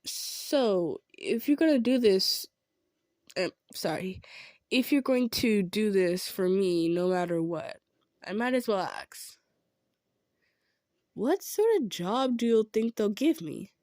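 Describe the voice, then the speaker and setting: A woman's voice: medium pitch, animated, takes it slowly, no echo, studio quality. A woman voicing a kind, self-effacing female centaur in an improvised audio roleplay, speaking earnestly and a little hesitantly to the listener. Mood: shy, hopeful. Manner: earnest, hesitant, gentle insisting.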